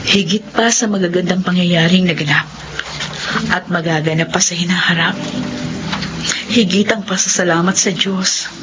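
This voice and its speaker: Actress playing a gentle faithful young wife. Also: female voice, fairly low pitch, steady tone, normal speed, pauses often, lightly acted. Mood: tender. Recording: muffled radio drama with music bed.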